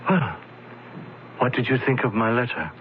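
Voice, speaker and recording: A man plays a suave, mysterious film company executive who speaks in smooth, courteous tones and is quietly persuasive. His voice sits in a middle register. It comes through a dull, lo-fi old radio broadcast with a faint hiss. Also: medium pitch, animated, normal speed, natural voice. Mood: welcoming. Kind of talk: 1970s radio drama acting.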